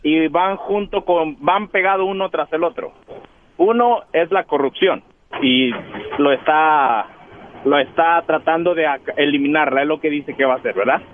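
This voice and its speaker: A man calling in to a radio show by phone, giving opinions casually in a halting, rambling, repetitive way. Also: a little high. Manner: halting, rambling, repeats himself. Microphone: phone call. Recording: tinny, noisy phone line.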